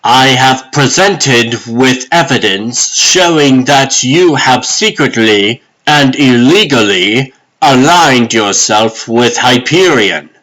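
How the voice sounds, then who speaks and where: A man playing a stern sheriff judge in a comic dub, formal, commanding and deliberate. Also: mid-range voice, fairly bright tone, low-quality recording. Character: stern, authoritative sheriff judge reading out charges. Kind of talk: comic dub character acting.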